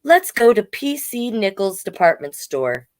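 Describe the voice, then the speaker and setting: A woman's voice: mid-range voice, sing-song, measured pace, a little mellow, no room sound, pitched above the performer's own voice. A woman reading aloud the part of a caring, sensible grown woman in a children's storybook, giving careful instructions. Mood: caring, instructive.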